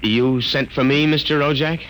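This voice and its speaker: A man's voice: a little high, steady tone, moderate pace, a bit bright, natural voice. A man acting a meek, hapless young lawyer in an old-time radio drama, hesitant and wryly self-deprecating, on a noisy old recording. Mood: meek, nervous.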